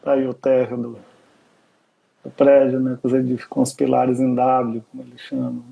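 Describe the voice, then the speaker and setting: A man's voice: mid-range voice, monotone, moderate pace, creaky, breathy edge, narrow, tinny audio. A Brazilian man with a Minas Gerais accent, talking casually on a live stream as an architecture researcher, rambling with frequent filler tags and restarts. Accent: Minas Gerais Portuguese.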